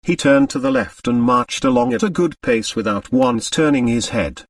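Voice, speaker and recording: A man reads scripted fiction as an audiobook narrator. His mid-pitched voice moves expressively while the telling stays deliberate and measured. The recording is dry, set slightly back from the mic. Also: sing-song, vocal fry, dry recording, far from the mic.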